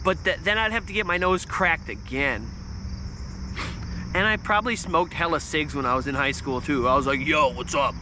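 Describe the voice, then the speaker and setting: A man in his thirties with a squeaky, nasal voice, telling a story in a loose, swearing livestream ramble. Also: animated, normal speed, background noise. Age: 30s. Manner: swears freely, restarts often, volume spikes. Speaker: vlogger on a livestream.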